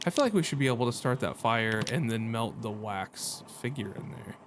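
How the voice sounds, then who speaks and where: A man's voice: medium pitch, lively intonation, a little fast, slight background noise. A man doing casual let's-play commentary as a gaming host, joking and self-deprecating in slangy talk.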